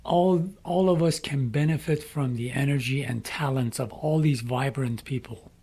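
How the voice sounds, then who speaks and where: A middle-aged man from Iran with an Iranian accent, speaking English as a second language, making a slow, earnest inspirational appeal. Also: mid-range voice, warm tone, husky. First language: Farsi. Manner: slow, deliberate, emphatic phrasing. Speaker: speaker addressing young people.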